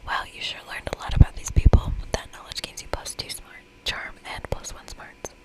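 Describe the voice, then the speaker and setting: A woman whispering in a playful, expressive ASMR style as she reads aloud and comments on a game. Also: fairly quick, rough recording. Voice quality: whispered, breathy. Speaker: ASMR creator playing through a visual novel game.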